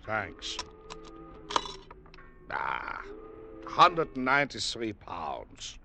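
A hearty, gravelly smuggler. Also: male voice, lowish voice, wildly swooping pitch, extremely slow, full character voice. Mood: jovial. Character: big, hearty beer-loving Dutch smuggler and boat owner. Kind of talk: old-time radio drama acting. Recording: vintage radio recording, faint music.

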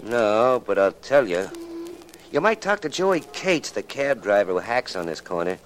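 A man's voice: fairly low pitch, sing-song, moderate pace, a little hiss or hum, poor audio. An actor playing a genial, talkative beat policeman in a late 1940s radio drama, folksy and emphatic. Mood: amused.

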